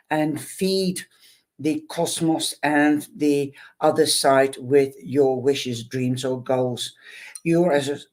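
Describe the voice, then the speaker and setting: A man's voice: mid-range voice, darkish tone. A man doing a tarot and tea-leaf reading to camera, hesitant and full of ums, speaking fondly to the viewer.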